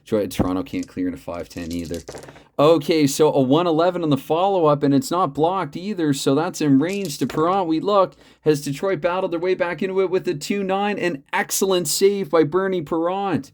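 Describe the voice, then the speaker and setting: A man hosting a tabletop hockey replay at home, mixing mock play-by-play with chatty, thinking-aloud dice math in a loud voice he keeps reined in. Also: on the high side, normal speed. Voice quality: loud voice, holding back. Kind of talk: mock play-by-play commentary between dice rolls.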